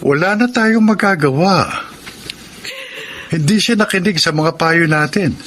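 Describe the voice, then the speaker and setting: A man's voice: on the high side, measured pace, fairly bright tone, a little hiss or hum. A man playing a blunt, resigned and exasperated grown son in a radio drama.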